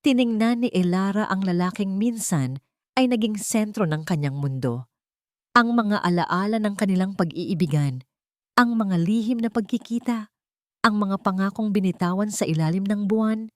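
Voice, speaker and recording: A woman's voice: mid-range voice, moderate pace, dry recording, studio quality. A woman reading a scripted dramatic story as its narrator.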